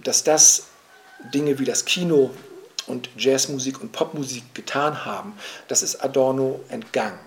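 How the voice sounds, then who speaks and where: Hesitant, lecturing man of middle pitch. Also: slight background noise. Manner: searching, lecturing, with many hesitations and restarts. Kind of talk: reflective spoken argument, recorded for a radio production.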